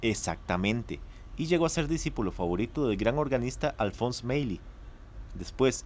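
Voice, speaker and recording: A man's voice: on the low side, slight background noise, lightly acted. A man with a Costa Rican accent playing a studious young student giving a class presentation in a radio play.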